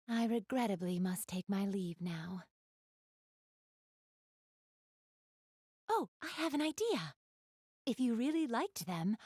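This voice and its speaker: A woman's voice: fairly high pitch, a little mellow, no room sound, clean studio sound. A woman playing an elegant, doting big-sister goddess in a video game, speaking in polite, formal, refined phrases straight to the listener. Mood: sweet, coy. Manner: polite, formal, refined diction, gushing over what she adores. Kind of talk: video game character acting, addressing the listener.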